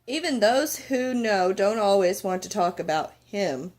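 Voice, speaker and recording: A woman's voice: medium pitch, lively intonation, unhurried, a little mellow, natural voice. Storybook role: grouchy old building super, a grumbling man who knows old secrets , played by a woman. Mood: grave. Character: grumpy old male building superintendent, gruff but knowing.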